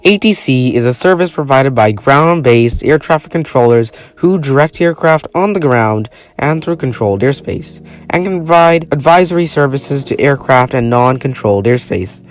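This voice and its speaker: Male narrator, mid-pitched, formal scripted explainer read, light music bed behind. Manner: reading formally from a written text.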